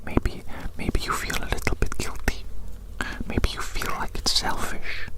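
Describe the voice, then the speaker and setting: A person whispering softly in an ASMR roleplay, gently reassuring and slowly repeating phrases. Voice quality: soft unvoiced whisper. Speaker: ASMR roleplayer measuring the listener.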